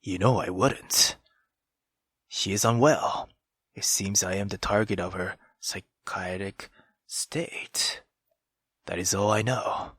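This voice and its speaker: A man voicing a cold, guarded young nobleman from a visual novel, in a breathy, halting, grave murmur. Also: low voice, fairly even intonation, fairly bright tone, dry recording, studio quality, pitched below the performer's own voice, heavily acted. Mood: pained, guarded.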